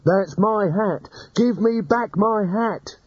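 A man voicing a nervous, cowardly wizard who protests in a high, anxious voice. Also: slow pace, darkish tone, slightly rough, no echo, highs cut off, full character voice. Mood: indignant.

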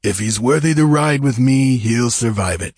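A man's voice: lowish voice, expressive, measured pace, darkish tone, breathy edge, no room sound, studio quality, heavily acted. A man voicing a proud, hard outlaw chief of the desert, imperious and mocking, in an adventure audiobook. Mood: cool, commanding. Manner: imperturbable, commanding, scornful. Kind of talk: dramatised audiobook adventure reading.

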